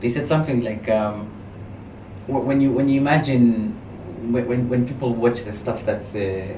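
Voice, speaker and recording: A man's voice: medium pitch, normal speed, dark tone. A man with a Kenyan accent, a filmmaker guest talking animatedly and often restarting his sentences in a casual interview. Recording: roomy, distant mic, faint noise.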